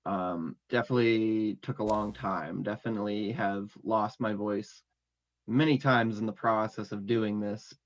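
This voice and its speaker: A man's voice: lowish voice, fairly even intonation, a little slow. A man chatting casually as an interview guest about his music, rambling and full of fillers. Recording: dull lo-fi audio.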